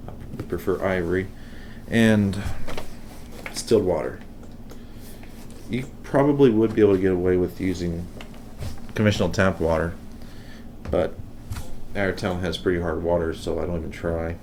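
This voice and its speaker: A man giving a casual, unscripted how-to demonstration, talking haltingly and unhurriedly as he works. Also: sits low, mellow, a little hiss or hum. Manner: unhurried, halting, thinking aloud while working. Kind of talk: casual unscripted hands-on tutorial.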